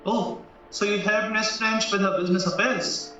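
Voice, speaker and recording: A man acting a shrewd, probing defence lawyer in an audio play, formal and deliberate in his questioning. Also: high-pitched, steady tone, sharp tone. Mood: serious, deliberate. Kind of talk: stage-style courtroom audio drama acting. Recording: very echoey, distant, dull, music behind.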